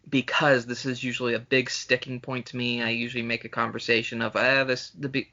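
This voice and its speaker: A man's voice: mid-range voice, gravelly, bright, forceful tone, muffled recording. A man co-hosting a podcast in casual film chat, talking in an emphatic, sweary way. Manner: profane, emphatic. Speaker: podcast host.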